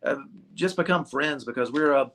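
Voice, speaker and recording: A man's voice: mid-range voice, moderate pace, vocal fry, fairly bright tone. A man, a ukulele shop owner being interviewed, chatting casually and joking with frequent laughter. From United States. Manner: jokey, laughs often. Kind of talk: casual chat.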